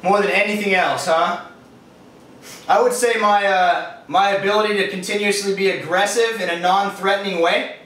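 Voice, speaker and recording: High-pitched young Californian man, very echoey. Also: fairly even intonation, moderate pace, a bit bright. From California, USA. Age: 20s. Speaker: interviewee, fitness business owner. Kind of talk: casual interview answers. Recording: echoey, distant microphone.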